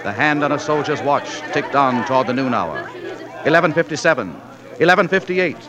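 An actor playing a solemn, stirring narrator of frontier history, declamatory in 1940s radio drama style. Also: male voice, mid-range voice, animated, fairly quick, a crowd in the background, lightly acted. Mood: grand, stirring. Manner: grand, declamatory. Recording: vintage radio broadcast over music.

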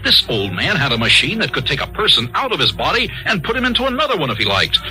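An actor playing a brisk, self-important army colonel with clipped military phrasing, narrating and acting in an old-time radio drama. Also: male voice, on the high side, wildly swooping pitch, sharp tone, soft background music, heavily acted, poor audio. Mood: brisk, confiding.

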